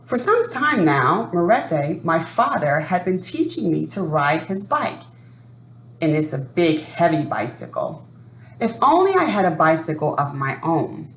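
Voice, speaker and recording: A woman presenting and reading a picture book to children in an expressive storytelling style, addressing young listeners directly. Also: low voice. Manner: expressive, asks young listeners questions and pauses. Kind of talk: read-aloud storytelling for children. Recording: roomy sound with faint background noise.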